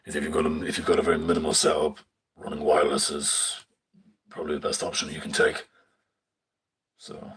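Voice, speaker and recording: Very deep, husky young man rambling. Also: fairly bright tone, poor audio. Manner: rambling, swears casually, many fillers. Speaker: stage tech student. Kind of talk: casual live screen walkthrough. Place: indoors at a screen. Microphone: phone filming a screen.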